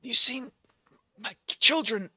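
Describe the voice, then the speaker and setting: A man's voice: extremely high pitch, slow pace, bright, forceful tone, slightly rough, no room sound, muffled recording, pitched above the performer's own voice, exaggerated voice. A man acting a worried, wary father in a fantasy tabletop game, questioning urgently. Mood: anxious. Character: worried father, cautiously searching for his children.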